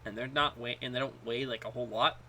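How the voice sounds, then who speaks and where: A man co-hosting a podcast, chatting casually and haltingly, often repeating words as he goes. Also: medium pitch, fairly even intonation, sharp tone. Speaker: podcast co-host. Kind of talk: casual chat about gaming.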